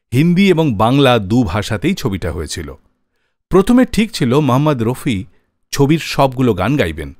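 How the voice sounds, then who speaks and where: A man hosting a music radio show, telling scripted stories with dramatic pauses and phrase endings that trail off low. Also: no echo.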